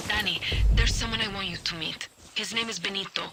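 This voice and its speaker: A woman voicing a composed rebel leader who gives calm orders and encouragement. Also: mid-range voice, fairly quick, over music, natural voice. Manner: calm, warm encouragement, commanding.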